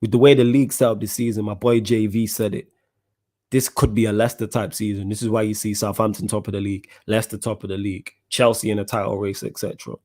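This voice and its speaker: A man's voice: on the low side, little pitch movement, quick pace, darkish tone. A young man with a Mancunian accent hosting a casual football podcast, chatting in slang and answering viewer comments. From Manchester, England. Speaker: podcast host.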